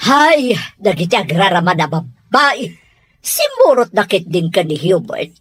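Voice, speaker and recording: A haughty madam, sneers in a raspy voice with an Ilocano accent. Her pitch swings dramatically in scornful radio drama style. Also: wildly swooping pitch, measured pace, soft background music, exaggerated voice. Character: haughty, scornful madam who despises house servants. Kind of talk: Filipino radio drama villain acting.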